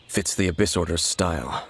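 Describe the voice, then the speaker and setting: An actor playing an aloof, guarded wandering swordsman in a video game, speaking in a grave, measured, terse manner. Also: low voice, vocal fry, a little hiss or hum. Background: game music.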